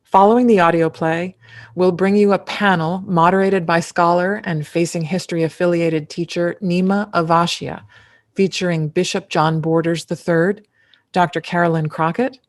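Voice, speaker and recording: A woman's voice: lowish voice, no echo, clean studio sound. A woman reading a scripted podcast introduction as its announcer.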